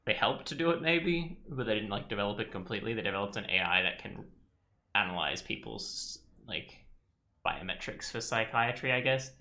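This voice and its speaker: A man's voice: on the low side, lively intonation, normal speed, sharp tone. A man hosting a let's play, casually commenting and musing aloud in a tentative way. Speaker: gaming video host.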